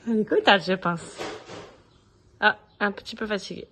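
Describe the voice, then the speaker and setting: A woman's voice: mid-range voice, fairly even intonation, moderate pace. A woman in her thirties, a mother, cheerfully narrating a family holiday vlog to her handheld camera. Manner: chatty, cheerful, talking to her children and to viewers. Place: outdoors on a holiday trip. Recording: noisy outdoor background, passing vehicles.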